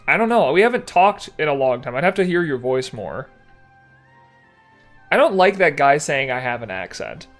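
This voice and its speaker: A man's voice: fairly high pitch, big pitch swings, moderate pace, soft background music. A young man from Texas with a mild Texan accent, chatting casually on a livestream and restarting his sentences often as he rambles. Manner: rambling, self-correcting, chatty with chat. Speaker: streamer.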